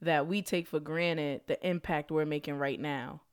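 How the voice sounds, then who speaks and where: A woman's voice: low-pitched, steady tone, a little slow, clear, resonant tone, no echo, clean studio sound. A young woman hosting her own podcast, talking casually in slang and laughing often, a little stuffy from a cold. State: slightly congested. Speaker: podcast host; school counselor. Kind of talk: casual solo podcast monologue.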